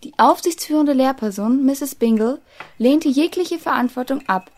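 Eine Frau spielt in einem Hörspiel eine höfliche, neugierige, etwas ängstliche jugendliche Internatsschülerin. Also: female voice, high-pitched, normal speed, a little mellow. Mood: reading aloud. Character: polite, curious, slightly timid teenage boarding-school girl. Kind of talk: scripted audio drama acting.

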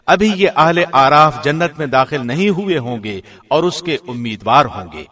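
A man reading a scripture translation aloud from a script in a formal, measured way, as a radio presenter would. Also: on the high side, lively intonation, fairly bright tone. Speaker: translation reader, radio host.

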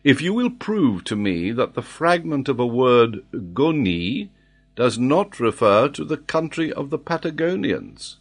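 A man voicing a proud, incredulous British lord who challenges and doubts in a formal, measured way. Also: mid-range voice, big pitch swings, husky, pitched above the performer's own voice, exaggerated voice. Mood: challenging.

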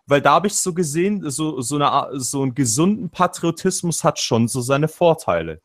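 A man's voice: fairly high pitch, lively intonation, moderate pace. Rambling Swabian-accented man on a call. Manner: rambling, self-interrupting, acts out quotes. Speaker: panel guest. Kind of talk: casual political chat. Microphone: video call.

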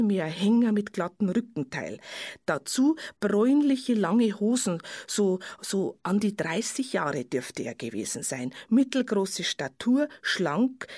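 An actress playing a tired tavern waitress who gives a detailed, halting witness statement in a naturalistic German radio play. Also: female voice, medium pitch, a little mellow, husky, no echo, clean studio sound. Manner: observant, detailed, halting recollection.

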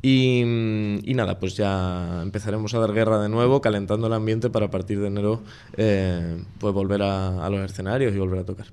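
Low-voiced man, relaxed and rambling. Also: brisk, clean tone. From Spain, La Mancha. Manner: relaxed, rambling with frequent restarts and fillers. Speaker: musician guest. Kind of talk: casual radio interview.

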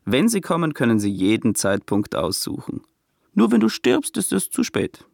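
A man's voice: mid-range voice, huge pitch swings, normal speed, vocal fry, no room sound, studio quality, full character voice. An actor in a radio play playing an ominous male companion who needles and warns in an insinuating way. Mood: musing.

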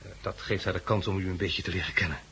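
An actor in a 1960s radio drama playing a shrewd, protective farmer who talks in a natural, measured, persuasive way. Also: male voice, low-pitched, fairly even intonation, bright, forceful tone, husky, heavily acted. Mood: polite, guarded. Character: shrewd farmer and protective family man, outwardly agreeable. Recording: old radio drama recording.

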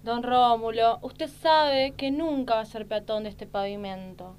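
A woman's voice: a little high, unhurried, darkish tone, clear, resonant tone, faint music behind, close to an everyday voice. A woman playing an exasperated secretary in a satirical sketch, formal and scolding. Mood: polite, curt. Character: exasperated female secretary of a lecherous boss. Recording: noticeable background noise and hum.

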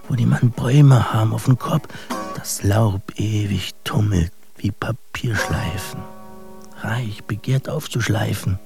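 An actor playing a brooding young immigrant man who muses poetically in street slang, in a radio drama monologue with halting, deliberate delivery. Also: on the low side, vocal fry.

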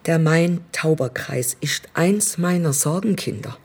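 A woman's voice: sits low, unhurried, darkish tone, husky, exaggerated voice. A woman acting in a radio play as a menacing, condescending state premier who speaks with controlling courtesy. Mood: cold pressure.

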